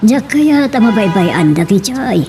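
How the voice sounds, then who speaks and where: A woman's voice: mid-range voice, very animated, moderate pace, background noise. An actress with an Ilocano accent playing a stubborn, business-minded older woman in a radio drama.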